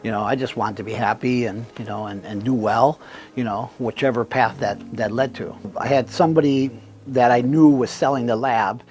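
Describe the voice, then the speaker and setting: Relaxed middle-aged Cuban-born man. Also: mid-range voice, normal speed. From Cuba. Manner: relaxed, many fillers and restarts. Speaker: business owner, guest. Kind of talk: conversational storytelling.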